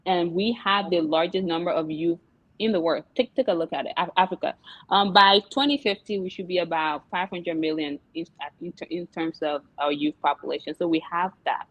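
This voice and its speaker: A woman's voice: fairly low pitch, steady tone, fairly quick, no echo, highs cut off. A young woman from Liberia, a guest entrepreneur in an interview, talking casually with many fillers and laughs. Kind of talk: interview chat.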